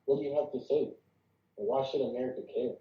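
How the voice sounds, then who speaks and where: A man's voice: medium pitch, fast talker, close to an everyday voice. A man playing an impatient, domineering magazine boss in his thirties, curt and dismissive, at a script read. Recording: dull, echoey, distant call audio.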